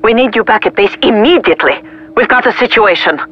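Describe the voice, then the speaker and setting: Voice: mid-range voice, animated, a little fast, highs cut off, a little hiss or hum, close to an everyday voice. An actor playing a stern government secretary in a sci-fi motion comic, grave and authoritative. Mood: grave, urgent. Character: stern, urgent government secretary, military superior.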